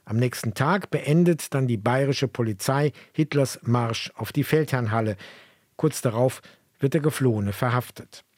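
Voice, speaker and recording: A man co-hosting a history program, explaining in a measured way. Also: mid-range voice, a little mellow, no echo, studio quality. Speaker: co-host of a history podcast. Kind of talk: explanatory radio history narration.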